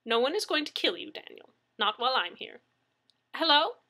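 A woman narrator plays an older neighbor woman in an audiobook, kindly and protective. Her voice is lifted and bright, with an emphatic, mother-hen fussiness. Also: high-pitched, sing-song, normal speed, pitched above the performer's own voice, full character voice. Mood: protective resolve.

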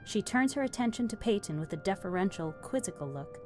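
A woman's voice: mid-range voice, lively intonation, brisk, a little mellow. Woman narrating a script over music. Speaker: narrator reading stage directions.

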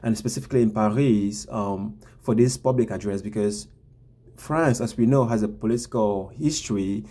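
A man's voice: fairly low pitch, moderate pace, dark tone, slightly rough. A man in his thirties from Cameroon, hosting his own vlog and sharing political views to camera, with many ums and repeated words, recorded on a laptop camera in a small room. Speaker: vlog host. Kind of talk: casual political commentary to camera.

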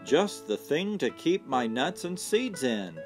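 A gentle, house-proud lady squirrel from a storybook read by a man, sweet and a little wistful. The pitch moves around in a lively way, with faint guitar music behind. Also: slow. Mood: pleased.

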